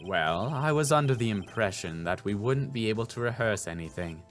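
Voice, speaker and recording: A man voicing a cool, haughty, evasive woman on a witness stand in a video game, measured and condescending, over music. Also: on the low side, animated, voice pushed down, natural voice.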